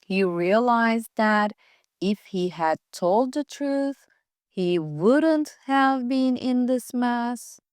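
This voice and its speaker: A woman reading a scripted children's fairytale aloud for English learners, with careful, deliberate phrasing. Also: fairly high pitch, smooth, dry recording, clean studio sound. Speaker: storyteller.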